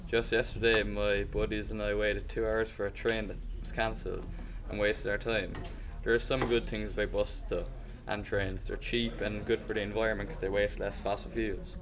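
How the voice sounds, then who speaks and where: A man's voice: fairly low pitch, flat delivery, moderate pace, few pauses, a crowd in the background. A man giving a short scripted talk as a guest speaker.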